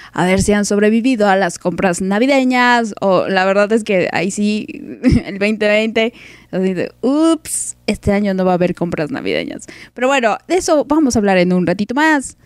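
A woman's voice: mid-range voice, dry recording. A woman with a Mexican accent hosting a live-stream show in casual chat, playful and joking, often breaking into laughter. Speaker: host.

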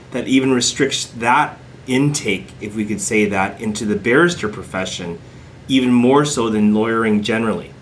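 A man's voice: mid-range voice, slight background noise. A man hosting a podcast, asking long questions that he keeps rephrasing. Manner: searching, rephrases his questions at length. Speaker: podcast host, lawyer. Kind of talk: podcast interview.

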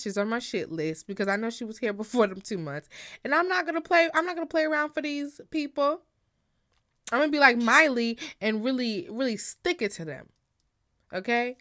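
A woman's voice: on the high side, fairly bright tone, no echo, clean studio sound. A woman from Guyana co-hosting a podcast, gossiping casually with slang and acted-out quotes. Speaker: podcast co-host.

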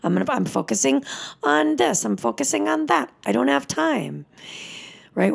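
Slow, gravelly, husky-voiced woman. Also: medium pitch. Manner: emotional, soft, drops into whispers. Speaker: yoga teacher. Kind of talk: reflective spoken teaching.

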